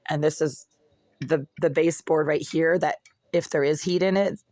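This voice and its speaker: A vlogger with a hoarse, raspy voice that cracks and breaks, ranting in an aggrieved way from a cold apartment. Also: medium pitch, steady tone, normal speed, a little mellow, no room sound. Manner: aggrieved, ranting, insults critics. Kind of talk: casual complaint vlog.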